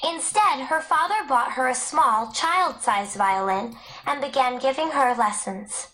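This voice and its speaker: A woman narrator with a high voice reads scripted educational material aloud. She delivers it as prepared narration for learners. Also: animated, measured pace, fairly bright tone, rough recording. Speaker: audiobook narrator.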